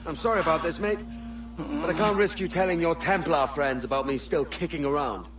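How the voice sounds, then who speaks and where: A man's voice: fairly high pitch, fairly quick, lightly acted. A man playing a roguish, pragmatic young pirate captain in a video game, speaking matter-of-factly. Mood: coolly menacing. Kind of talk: naturalistic video game acting. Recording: clear outdoor game ambience behind.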